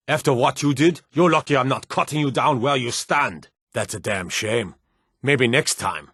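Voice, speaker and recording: An actor playing a stern, menacing fantasy city guard in a video game, gruff and authoritative, with a weary, corruptible streak. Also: male voice, mid-range voice, a bit bright, no echo, clean studio sound. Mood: stern, grudging. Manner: gruff, authoritative, clipped threats. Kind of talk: scripted fantasy game voice lines.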